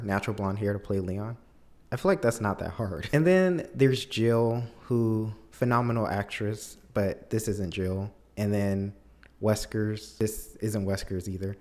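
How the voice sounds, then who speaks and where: Low-voiced man giving casual movie commentary. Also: darkish tone. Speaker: video commentator. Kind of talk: casual film review commentary.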